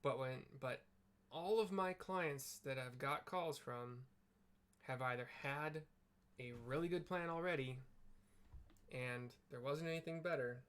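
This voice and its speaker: A man's voice: medium pitch, unhurried, fairly bright tone. A man giving informal, wry and self-deprecating gameplay commentary mixed with personal chat. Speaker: gaming streamer and narrator. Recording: clear background noise.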